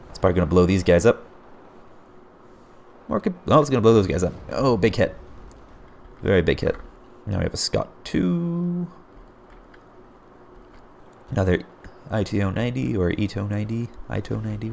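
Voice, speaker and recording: A man casting a strategy game replay as play-by-play commentary, his voice hoarse and getting raspy, often breaking into surprised exclamations. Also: lowish voice, slight background noise. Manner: excitable, frequent surprised exclamations. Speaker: game caster.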